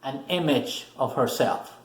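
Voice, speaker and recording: A man with a Spanish accent, a native Spanish speaker, explaining a self-help lesson slowly in short, repeated phrases. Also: medium pitch, reverberant. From Spanish-speaking country. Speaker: self-help video host. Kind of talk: explanatory talk to camera.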